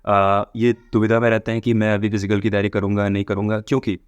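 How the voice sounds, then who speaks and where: A man with a fairly low voice talks in a dry-sounding space with little echo. He explains things informally, like an online presenter giving an update, and addresses his viewers directly. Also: monotone, fast talker, smooth, muffled recording. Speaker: exam-update video presenter. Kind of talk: informal explanatory commentary on exam results.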